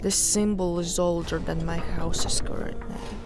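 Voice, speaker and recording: A woman playing a proud, fervent highborn noblewoman in a fantasy audio drama, speaking in a solemn, deliberate, theatrical way. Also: fairly even intonation, over music, lightly acted. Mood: fervent, resolute.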